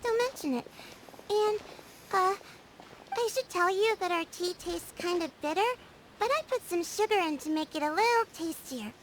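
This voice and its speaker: A woman's voice: very high-pitched, lively intonation, normal speed, noisy background. A woman voicing a shy, sweet, polite little daughter in a video game, with a hesitant manner. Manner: hesitant, timid. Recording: loud game music behind the voice.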